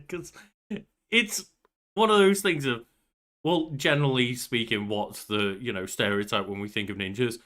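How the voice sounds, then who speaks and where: A man's voice: mid-range voice, normal speed, fairly bright tone. A man in his thirties co-hosting a podcast with casual, joking commentary and some swearing. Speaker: podcast co-host.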